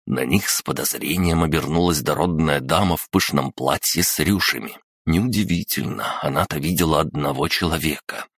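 Male audiobook narrator, extremely deep voice, dry close recording. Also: moderate pace, few pauses, a bit bright, slightly rough, dry recording. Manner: reads all characters himself.

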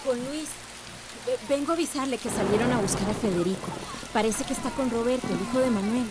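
An actress playing a concerned, cautious but loyal village señorita in a radio soap opera. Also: female voice, a little high, fairly quick, very noisy, lightly acted. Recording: radio drama under loud music.

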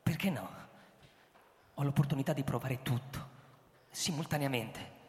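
A man's voice: mid-range voice, unhurried, lightly acted. Actor as anxious, stammering man in echoing hall. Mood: fervent. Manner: hesitant, stammering, repeating words. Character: anxious, obsessive man tampering with his own reality. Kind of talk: theatrical radio drama acting. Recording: echoey hall with loud music behind. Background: music.

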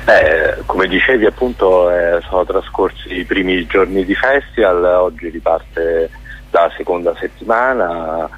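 A man speaking as a guest in a radio interview, talking in a halting way with many fillers and restarts. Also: deep voice, husky. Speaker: festival director guest. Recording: dull lo-fi radio sound.

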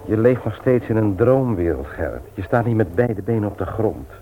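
An actor in a radio play, playing an earnest family man who speaks frankly and in a measured way. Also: on the low side, expressive, dark tone, lightly acted. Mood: earnest, admonishing. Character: Willem, earnest frank friend, husband and doting father. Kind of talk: Dutch radio play acting. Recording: tinny, noisy old radio play recording.

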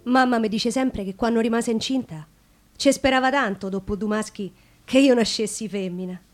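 A woman's voice: a little high, moderate pace, soft background music, lightly acted. An actress playing a wry, defiant Roman woman in a colloquial, ironic theatre monologue, with a Roman accent. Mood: wry, confiding.

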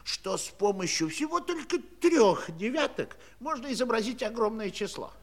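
A man's voice: very high-pitched, expressive, moderate pace, creaky, full character voice. An actor playing a fussy, pompous, condescending old council chairman, a living number, in a theatrical children's audio play. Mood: condescending, needling.

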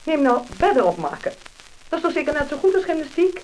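Fretting Dutch housewife, lively, high. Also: female voice, fast talker. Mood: indignant complaining. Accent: Netherlands Dutch. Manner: complaining, exclamatory. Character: fifty-year-old housewife and mother, fretting about her weight. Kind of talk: 1950s scripted radio-style acting. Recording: crackly, hissing mid-century disc recording.

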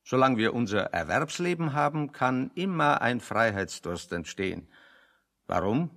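Gravelly, sardonic, opinionated man. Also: medium pitch, very animated, measured pace, fairly bright tone, exaggerated voice. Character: dry, opinionated man reasoning with sardonic logic. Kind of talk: German radio play dialogue.

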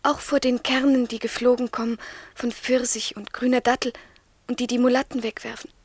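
Radio drama ingénue played by a woman: young, submissive, breathy and fairly high, recorded very dry. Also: level tone, a little fast, no room sound, close to an everyday voice. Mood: fervent, hushed. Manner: yielding, fervent, often whispering. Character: devoted, submissive young woman in love, Jennifer. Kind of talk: 1970s radio drama acting.

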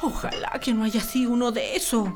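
A woman's voice: fairly high pitch, steady tone, brisk, a little mellow, close to an everyday voice. A woman acting an anxious, superstitious, bossy country wife in a radio drama, speaking colloquial Chilean Spanish. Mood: fearful, scolding. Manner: colloquial, exclamatory country speech. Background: prominent music.

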